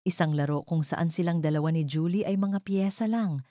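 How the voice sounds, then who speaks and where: A woman narrates a scripted drama as storyteller. Her voice is low and mellow, recorded dry and close, with a dramatic delivery. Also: moderate pace, no room sound.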